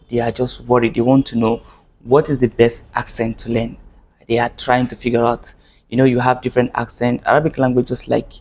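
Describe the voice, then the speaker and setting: A man's voice: mid-range voice, gravelly, darkish tone. Earnest man teaching Arabic. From Nigeria. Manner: earnest, repeats and restarts phrases. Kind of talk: video lesson to camera.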